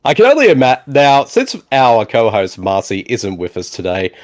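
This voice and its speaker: A man hosts a laid-back movie commentary podcast, his voice rising and falling a lot as he talks. He sounds animated and chatty, and he keeps coming back to short replies like "exactly" and "indeed". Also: mid-range voice, normal speed. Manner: animated, laughs often, repeats "exactly" and "indeed". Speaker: podcast host. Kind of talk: casual movie commentary chat.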